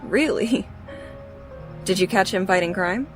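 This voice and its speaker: An actress playing a sardonic police captain in an audio drama, speaking with dry sarcasm. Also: female voice, medium pitch, a little slow, faint music behind, lightly acted. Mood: wry.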